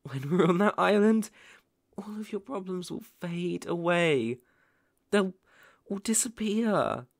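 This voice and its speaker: Guy doing a creepy lovesick boyfriend asmr, super close dry mic, cooing and slow, breathy, kinda menacing. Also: high voice, lively intonation, no room sound, full character voice. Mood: soothing, controlling. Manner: slow, cooing, gently condescending, with soft menacing intensity. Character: possessive, lovesick yandere boyfriend, sweetly menacing captor. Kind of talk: ASMR roleplay, dramatic monologue.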